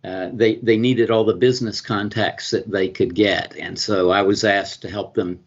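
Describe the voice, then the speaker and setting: A man's voice: fairly low pitch, steady tone, normal speed. A middle-aged man with a Georgia Southern accent, answering interview questions as a consul and attorney, with many fillers and restarts. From US state of Georgia. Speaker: consul and business attorney, guest. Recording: dull lo-fi sound.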